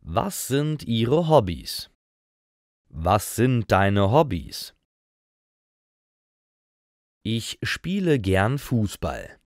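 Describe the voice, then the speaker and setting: A man slowly reading example phrases for beginner learners, each phrase set apart. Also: lowish voice, big pitch swings, no room sound, clean studio sound. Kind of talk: scripted example sentences.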